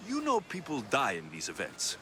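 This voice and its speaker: An actor playing a gruff, stubborn young Greek mercenary in a video game cutscene, terse and deadpan. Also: male voice, mid-range voice, moderate pace, noisy background. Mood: wry, questioning.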